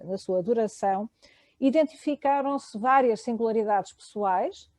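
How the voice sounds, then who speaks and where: Woman, European Portuguese accent, academic talk, mellow tone, dry sound, many hesitations. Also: fairly high pitch, no room sound. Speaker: panel speaker. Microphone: video call.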